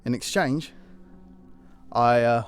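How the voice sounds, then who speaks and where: An actor playing a pleading poor worker. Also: male voice, medium pitch, slow, smooth, close to an everyday voice. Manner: begging, anxious. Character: poor pleading male mine worker, desperate father.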